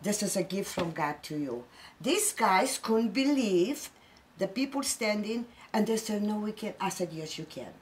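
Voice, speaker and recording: A middle-aged woman with a Polish accent hosts a relaxed spiritual talk. She tells stories earnestly, leaning hard on her points. Her pitch swoops up and down freely. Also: moderate pace. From Poland. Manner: earnest, storytelling, emphatic. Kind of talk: casual spiritual chat.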